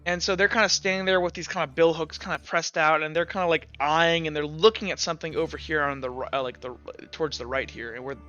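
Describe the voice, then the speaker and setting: A man's voice: a little high, fairly even intonation, quick pace, few pauses, pushed and bright, dry recording. A man improvising narration as a tabletop game master, in hesitant, piecemeal bursts full of fillers. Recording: dull audio, faint music behind. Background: faint music.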